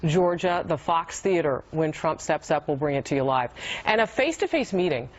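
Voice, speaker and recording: Woman, news panel host, lowish voice, brisk, steering, dull broadcast sound. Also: expressive. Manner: brisk, steering, teases upcoming stories. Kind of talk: live news talk moderating.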